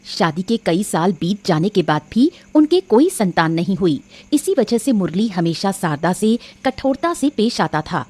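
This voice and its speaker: A woman's voice: mid-range voice, sing-song, a little slow, few pauses, a little mellow. A woman narrating a scripted moral cartoon story.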